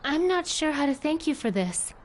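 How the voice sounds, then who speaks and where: A plucky, lively, high-voiced girl. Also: normal speed, a little hiss or hum. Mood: earnest. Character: plucky teenage baker girl, curious, breezy, stubborn. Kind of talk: video game voice acting. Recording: game music behind the voice. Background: game background music.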